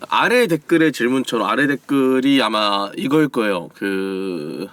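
A man's voice: mid-range voice, normal speed, no echo. A young man hosting a casual chat, joking and reading out viewer questions, still getting over a cold. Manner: lively, joking, reads viewer questions aloud. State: recovering from a cold. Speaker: host.

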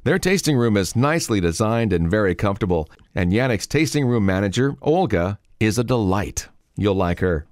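A man hosting a wine podcast, reading a scripted segment in a smooth, even delivery. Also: on the low side, normal speed, few pauses, no room sound, studio quality. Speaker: podcast host. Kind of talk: scripted narration.

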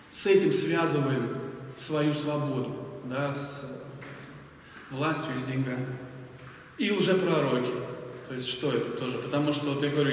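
A man's voice: fairly high pitch, slight background noise. A man in his forties preaching at a lectern in a church hall, conversational and full of fillers, asking the audience questions. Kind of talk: sermon. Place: bright church hall with large windows. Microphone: stand mic at a lectern, filmed from afar. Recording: echoey hall from far off.

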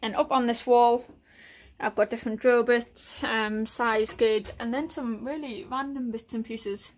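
Relaxed woman touring her workshop. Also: on the high side, measured pace. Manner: relaxed, listing items with frequent fillers. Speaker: woodworking vlogger. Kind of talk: casual workshop tour narration. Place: small timber shed workshop.

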